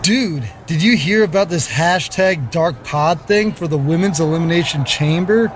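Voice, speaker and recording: A man's voice: fairly high pitch, moderate pace, natural voice. A man playing a goofy, spacey, laid-back wrestler in a video game cutscene, talking in earnest, slangy dude-speak. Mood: excited, curious. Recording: faint background noise.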